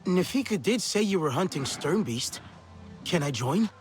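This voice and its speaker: A man's voice: sits high, sing-song, normal speed. A man playing a modest young alien outcast, plain and unassuming, in a game cutscene with music behind. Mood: tentative. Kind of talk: video game cutscene acting.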